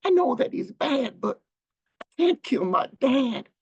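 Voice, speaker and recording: A man singing the part of a sorrowful little girl in a soft, pleading voice. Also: very high voice, very animated, unhurried, slightly rough, highs cut off, exaggerated voice. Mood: sad.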